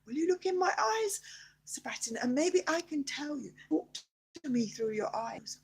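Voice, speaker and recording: High-pitched, cooing, tender creature-befriender, acted by a woman. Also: sing-song, moderate pace, creaky, full character voice. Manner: cooing, coaxing, repetitive. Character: tender, earnest dragon-befriender pleading with a shy creature.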